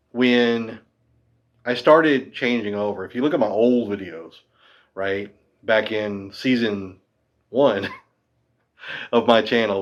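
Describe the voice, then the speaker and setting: A man's voice: fairly low pitch, highs cut off. A man in his fifties talking casually to camera about guitars, chatty and rambling with a self-deprecating streak. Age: 50s. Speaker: guitar teacher.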